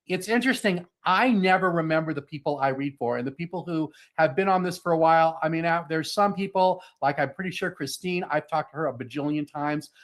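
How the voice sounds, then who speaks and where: A man hosting a live online tarot reading, talking fast, chatty and reassuring over a video call. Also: fairly high pitch. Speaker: psychic reader, show host.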